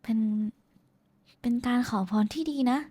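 A woman's voice: measured pace, darkish tone, lightly acted. A woman playing a shy, affectionate young woman in an intimate roleplay, talking casually and hesitantly to someone special. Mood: bashful. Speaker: VTuber voice actress. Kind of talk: intimate roleplay voice pack addressed to the listener.

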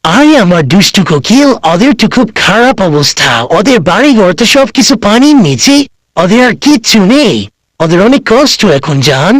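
A man's voice: sits high, big pitch swings, normal speed, fairly bright tone, no room sound. A man voicing a fawning elderly politician in a comic cartoon, sweet-talking and pleading to placate a lover. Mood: wheedling. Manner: wheedling, sweet-talking with pet names.

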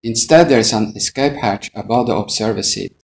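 Measured, gravelly male pilot narrator. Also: lowish voice. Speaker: airline pilot instructor. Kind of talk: scripted instructional narration.

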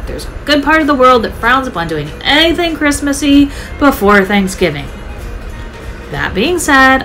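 A woman's voice: fairly high pitch, a bit bright, rough recording. A woman narrating her travel vlog in a scripted voice-over, upbeat, chatty and enthusiastic. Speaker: travel vlogger.

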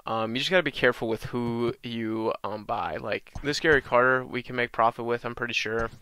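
A young man with a slight cold-raspy voice, casually walking through a video game tutorial with lots of ums. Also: mid-range voice, a little fast. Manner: relaxed, many ums and uhs, talks through menus. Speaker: gaming channel host.

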